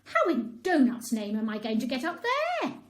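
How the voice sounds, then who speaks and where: A woman's voice: high-pitched, huge pitch swings, moderate pace, reverberant. A woman voicing a chubby, timid male cat in a children's story, with a dramatic, protesting manner. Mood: dismayed.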